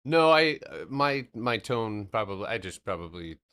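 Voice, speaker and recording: A man hosting a casual livestream market recap. He talks in a loose, rambling way, swears casually, and slows into a steady explanatory tone when walking through charts. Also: lowish voice, clear, resonant tone. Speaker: stock trading streamer.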